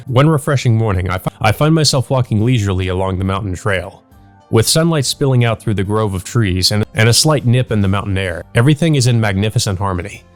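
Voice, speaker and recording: A man's voice: fairly low pitch, brisk, faint music behind. A man hosting a let's play, reading a visual novel aloud and adding casual, musing asides to himself.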